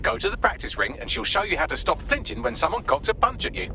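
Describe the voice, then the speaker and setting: A man's voice: high-pitched, very animated, quick pace, vocal fry, noisy background, exaggerated voice. A man with a British accent playing a sarcastic, smug artificial intelligence assistant in a video game, with droll, pompous, articulate delivery. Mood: dry, informative. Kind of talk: scripted video game voice acting.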